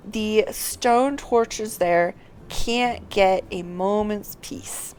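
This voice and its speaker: A woman's voice: mid-range voice, smooth, lightly acted. A woman reading out a shrewd, informal informant from a fantasy game, passing on tips in colloquial, confiding style.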